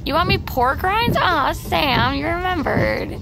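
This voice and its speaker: Audio drama: secretive, stubborn young woman, very lively intonation, music strong behind. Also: high voice, a little slow, creaky, heavily acted. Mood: playful, excited. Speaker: actor in a scripted audio fiction podcast. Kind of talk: naturalistic audio drama acting. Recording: background music, vehicle noise behind.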